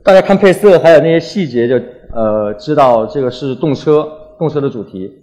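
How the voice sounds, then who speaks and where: Young male speaker from Wuhan, medium pitch, for an informal artist's talk; colloquial storyteller who acts out bits of dialogue. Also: animated, fairly quick, dark tone. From Wuhan, China. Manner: colloquial, acts out little exchanges. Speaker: graffiti artist giving a talk. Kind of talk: casual stage talk, anecdotal storytelling.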